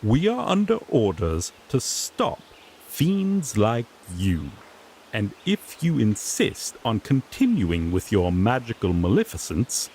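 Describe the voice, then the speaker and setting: A man's voice: lowish voice, wildly swooping pitch, slow, a little mellow, slight background noise, full character voice. A man playing a sassy, exasperated griffin knight in a fantasy audio roleplay, pompous one moment and sardonically grumbling the next. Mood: stern, proclaiming. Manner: pompous proclamations slipping into flustered, sardonic grumbling.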